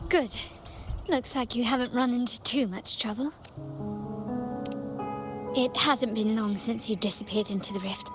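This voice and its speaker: A woman's voice: a little high, measured pace, long pauses, lightly acted. A woman playing a proud, bold noblewoman fighter in a video game, speaking with assurance. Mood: proud, relieved. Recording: loud music behind the voice.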